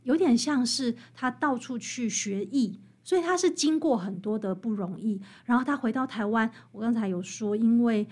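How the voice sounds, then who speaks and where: High-voiced, slightly husky Taiwanese woman. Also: normal speed, few pauses, darkish tone. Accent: Taiwanese Mandarin. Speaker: interview guest, author. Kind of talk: conversational explanation.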